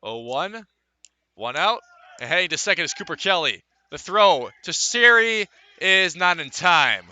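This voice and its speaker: A male sports announcer gives live radio play-by-play of a baseball game. His voice sits a little high and has a very sharp, forceful edge. He paces out his calls in measured phrases, leaving pauses between plays. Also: expressive, no echo. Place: live at a ballpark.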